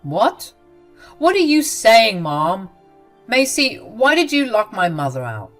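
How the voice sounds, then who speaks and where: A woman's voice: medium pitch, sing-song, unhurried, soft background music. A woman voicing a protective young husband who talks in an incredulous, demanding way. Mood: puzzled.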